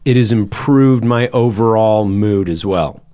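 A man in his thirties with a loud, carrying voice, co-hosting a podcast and chatting casually with lots of "you know" and "like". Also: fairly low pitch, measured pace, clear, resonant tone. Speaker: podcast host and band member.

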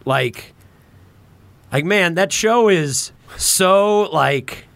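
A man's voice: high-pitched, lively intonation, drawn-out words, gravelly, a bit bright, dry recording. A man hosting a podcast in casual conversation, rambling along with informal slang and swearing. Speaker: podcast host.

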